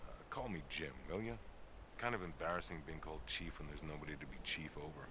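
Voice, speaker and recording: An actor playing a gruff, folksy, protective small-town police chief with clipped phrasing in a video game cutscene. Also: male voice, extremely low pitch, lively intonation, quick pace, noisy background. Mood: folksy, easygoing. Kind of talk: dramatic dialogue acting.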